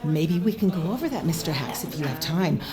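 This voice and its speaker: A woman's voice: sits low, lively intonation, a little fast, crowd noise. An actress playing a keen, polite woman inventor in an audio drama, earnest and formal. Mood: eager. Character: keen, polite female inventor of stage effects.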